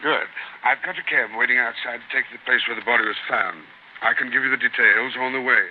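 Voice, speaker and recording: A man's voice: medium pitch, fast, lightly acted. An actor playing a pompous, fussy British government man, formal and grave, in a 1940s radio drama. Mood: dry, self-satisfied. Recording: muffled, noisy 1940s radio recording.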